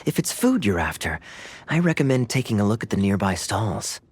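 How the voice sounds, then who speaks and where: A man's voice: lowish voice, very animated, fairly quick, breathy edge. A man voicing a laid-back, teasing knight captain in a video game. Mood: amused.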